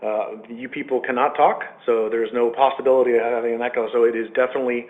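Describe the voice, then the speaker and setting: A man moderating a webinar, making firm, measured announcements to the audience with some fillers. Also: mid-range voice, flat delivery. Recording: tinny phone-line audio.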